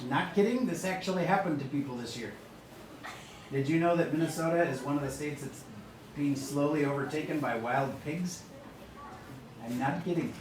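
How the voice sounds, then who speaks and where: A man's voice: a little high, moderate pace, pauses often, background noise. A male math teacher lecturing a classroom in a chatty, joking way while losing his voice. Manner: chatty, jokey, moves around the room.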